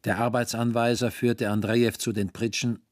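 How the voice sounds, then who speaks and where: An actor narrating prison camp life in a sober, measured, literary radio drama style. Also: male voice, medium pitch, level tone, close to an everyday voice. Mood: sober, grave. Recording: studio voice over guitar music.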